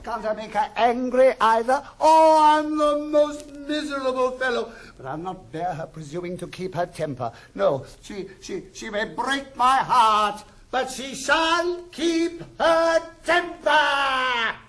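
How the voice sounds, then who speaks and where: High-pitched, blustering old husband. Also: male voice, animated, takes it slowly, few pauses, fairly bright tone, heavily acted. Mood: exasperated despair. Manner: stammering, blustering. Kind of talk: 1960s recorded classical stage comedy acting.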